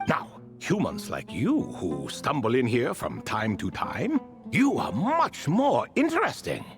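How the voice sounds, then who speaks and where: A proud, wistful ancient spirit in a video game. His delivery is refined and theatrical, swinging widely in pitch as he unhurriedly spins his tale over faint music. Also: wildly swooping pitch, unhurried. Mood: amused, disdainful. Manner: theatrical, refined, leisurely storytelling. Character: ancient cat-borne spirit, proud, wistful, wry male retainer. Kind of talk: video game character dialogue.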